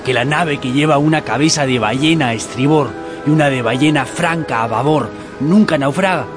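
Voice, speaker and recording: An actor playing a superstitious ship's officer who talks in a hushed, conspiratorial way. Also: male voice, on the high side, normal speed, music in the background, lightly acted. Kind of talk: radio drama acting.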